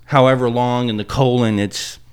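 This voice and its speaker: A man co-hosting a podcast in casual chat, halting and restarting his sentences as he thinks aloud. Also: medium pitch, fairly even intonation, clean tone. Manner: halting, trips over words. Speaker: podcast co-host.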